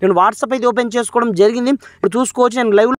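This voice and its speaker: Very high-pitched fast-talking male tutorial host. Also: steady tone, no room sound, clean studio sound. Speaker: YouTube tech channel host. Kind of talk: tech tutorial to camera and screen demo.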